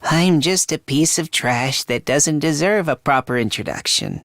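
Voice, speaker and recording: High, timid, self-loathing young fighter. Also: male voice, lively intonation, unhurried, fairly bright tone, no room sound, clean studio sound. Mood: timid, self-deprecating. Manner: stammering, hesitant, self-deprecating. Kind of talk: video game voice acting.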